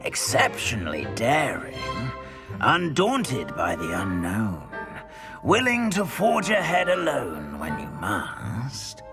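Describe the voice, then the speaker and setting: An actor playing a wise old magical talking hat in a game, pronouncing with weight and grandeur over music. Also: male voice, medium pitch, huge pitch swings, slow pace, gravelly, heavily acted. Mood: grand, admiring.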